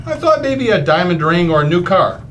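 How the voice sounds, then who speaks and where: Man acting high-pitched, fretful radio-comedy neighbor. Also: sing-song, fairly quick, a bit bright, a little hiss or hum. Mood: earnest, fretful. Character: earnest, fretful neighbor man, indecisive husband. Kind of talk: old-time radio comedy acting on stage.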